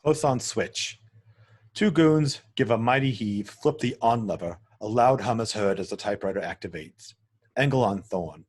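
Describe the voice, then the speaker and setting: A man's voice: on the low side, normal speed, a little mellow, husky. A man narrating a screenplay at a table read, reading out scene headings and action as written. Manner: stumbles and corrects himself while reading. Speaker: narrator reading stage directions.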